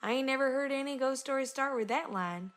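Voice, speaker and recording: A woman's voice: high voice, brisk, a bit bright. A woman voicing an earnest country farm pony, plainly reading a letter aloud. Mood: friendly, folksy. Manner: folksy, plainspoken. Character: earnest, down-to-earth young country farm mare, cartoon pony.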